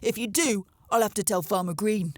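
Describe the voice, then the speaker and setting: A woman puts on a boastful old crow gentleman from a storybook. He croaks hoarsely and forcefully, blustering and accusing like one who loves a quarrel. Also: medium pitch, normal speed, no room sound, studio quality, close to an everyday voice. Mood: angry, blustering.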